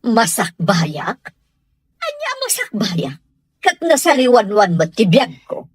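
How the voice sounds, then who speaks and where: A woman's voice: mid-range voice, wildly swooping pitch, takes it slowly, breathy edge, exaggerated voice. A woman with an Ilocano accent playing a suspicious, domineering wife and household boss in a radio drama. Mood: accusing.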